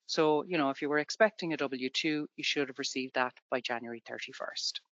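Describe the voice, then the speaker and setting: A woman's voice: a bass voice, fairly quick, fairly bright tone, dry recording, dull audio. Irish woman explaining tax forms. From Ireland. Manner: conversational, explains with everyday examples. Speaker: tax webinar presenter. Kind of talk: explaining to students in a webinar.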